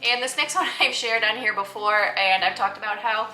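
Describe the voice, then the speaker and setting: A woman's voice: mid-range voice, normal speed, pushed and bright. A woman presenting picture books to teachers in an explanatory, teacherly way with emphatic, simple phrasing. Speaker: host recommending children's books to teachers. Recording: roomy echo, faint music behind. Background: faint music.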